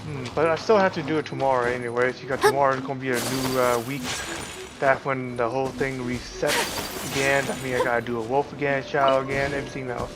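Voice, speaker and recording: A man livestreaming a game with casual commentary, halting as he thinks aloud. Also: medium pitch, steady tone, low-quality recording. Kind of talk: casual live gameplay commentary. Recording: loud game music behind voice. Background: video game music.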